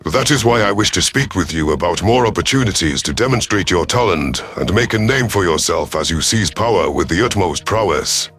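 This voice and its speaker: A man voicing a proud, calculating veteran alien rebel leader in a sci-fi audio story, measured, formal and imperious. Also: low-pitched, lively intonation, fairly bright tone, soft background music. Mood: calculating pride.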